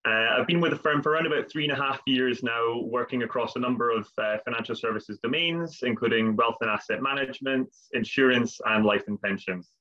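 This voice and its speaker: Halting man presenting on a lo-fi call. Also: mid-range voice, bright, forceful tone. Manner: hesitant, repeats words. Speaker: webinar presenter. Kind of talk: webinar presentation. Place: home office, video call. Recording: dull lo-fi video call audio.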